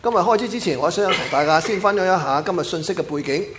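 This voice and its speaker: A male interpreter with a Cantonese accent renders the sermon quickly and fluently, phrase after phrase. His slightly high voice moves at a brisk clip, with faint church ambience behind him. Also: expressive, background noise. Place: church sanctuary.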